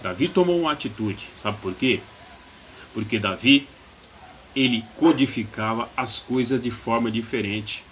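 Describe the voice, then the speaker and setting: A man's voice: medium pitch, unhurried, a bit bright, a little hiss or hum. A man with a Brazilian accent preaching motivationally as a pastor and coach, emphatic, repeating key phrases and asking rhetorical questions.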